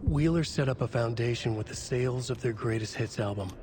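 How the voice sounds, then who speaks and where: A man playing a brooding narrator, telling a dark mystery tale in terse, measured sentences for a game. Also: mid-range voice, over loud music, natural voice. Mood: wry, measured. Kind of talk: scripted literary narration.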